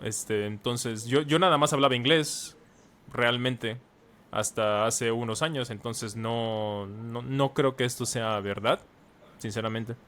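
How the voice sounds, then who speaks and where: A man's voice: medium pitch, steady tone, slow pace, a bit bright. Man explaining at length, earnest. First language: Spanish. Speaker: guest, language teacher and author. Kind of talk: casual podcast conversation.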